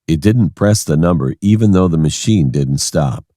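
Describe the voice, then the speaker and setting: Very deep-voiced male horror narrator. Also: animated, moderate pace, mellow, no echo, studio quality. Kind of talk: scripted first-person horror narration.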